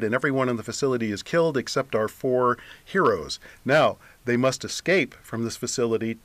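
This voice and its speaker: A man's voice: medium pitch, a bit bright, no room sound. A man speaking as a guest author in a radio interview.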